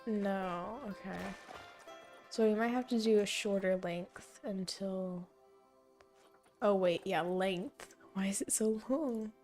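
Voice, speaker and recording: A young woman streaming a zoo-building game, thinking aloud and correcting herself as she goes, her voice slightly hoarse and fading. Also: medium pitch, slow pace, darkish tone, faint music behind. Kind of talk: casual gameplay commentary.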